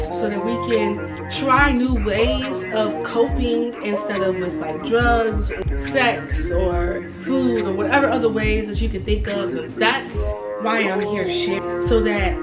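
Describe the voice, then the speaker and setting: A woman's voice: mid-range voice, distant mic. Woman in her twenties, sassy, blunt, cursing, roomy sound, mic set back, music behind. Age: 20s. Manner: blunt, sassy, swears freely. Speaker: vlogger. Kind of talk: candid confessional vlog.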